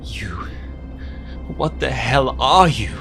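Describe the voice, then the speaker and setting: A man playing a maimed, distraught hero in an audio drama, speaking haltingly. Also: medium pitch, expressive, bright, forceful tone, faint music behind, full character voice. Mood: bitter anger. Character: maimed, anguished winged hero in a hospital bed.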